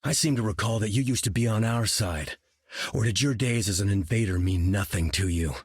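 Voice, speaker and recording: An easygoing war-veteran hero for a video game. His voice is lowish and husky, with a wry, casual delivery in a dry recording. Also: brisk, no room sound. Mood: challenging. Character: good-natured, soldierly male war-veteran hero.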